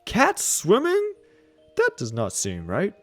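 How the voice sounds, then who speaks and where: A man's voice: high-pitched, huge pitch swings, slow pace, dry recording, soft background music, pitched above the performer's own voice, heavily acted. A man voicing a kindly, gullible knight in a children's storybook, hearty and proper. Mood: puzzled, hearty.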